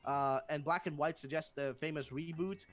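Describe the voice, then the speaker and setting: A man's voice: fairly high pitch, fairly even intonation, moderate pace, faint music behind. A young man with a Swedish accent, hosting a casual discussion and rambling with many fillers and restarts. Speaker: host.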